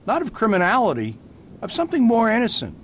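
A man playing a gentle whimsical priest detective. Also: high voice, wildly swooping pitch, fast talker, a little hiss or hum. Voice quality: mild, patient, whimsical. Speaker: Father Brown. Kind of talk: amateur radio drama acting.

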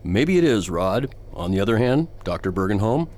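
A man playing a calm, reasonable patrol chief in a science fiction radio drama, composed and patient. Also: lowish voice, normal speed. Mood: calm, assured.

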